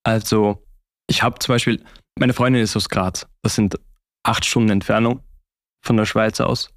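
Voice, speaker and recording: Low-voiced young Austrian man. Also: steady tone, moderate pace, no echo, clean studio sound. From Austria (Vorarlberg). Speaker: interview guest, consultant. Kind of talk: reflective conversational interview.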